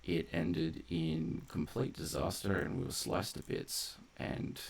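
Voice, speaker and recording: A man's voice: low-pitched, slow pace, gravelly, husky. A man giving casual, unscripted gameplay commentary, rambling off on tangents as he explains game mechanics in detail. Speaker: game streamer and narrator of a playthrough.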